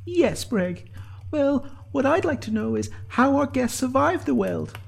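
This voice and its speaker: Casting: shrewd fantasy healer woman, played by a male narrator, raised pitch, assertive, sardonic storybook delivery. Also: very animated, moderate pace, darkish tone, slightly rough, exaggerated voice. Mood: serious, assertive. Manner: dry, assertive, needling. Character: sharp, confident female healer, shrewd and sardonic.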